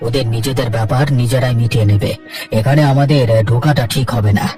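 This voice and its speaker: A man's voice: medium pitch, quick pace, a little mellow, close to an everyday voice. A man plays an aging cartoon farmer, a resigned and caring father. He speaks earnestly and sadly, slightly distant from the mic with music underneath. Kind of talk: cartoon dialogue acting.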